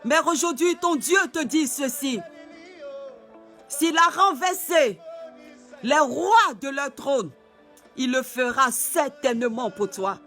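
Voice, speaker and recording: Fervent male prayer leader, very high bright voice, slow deliberate delivery, soft music under. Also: expressive. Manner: fervent, repetitive, often shouted. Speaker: pastor. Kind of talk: prayer and worship exhortation.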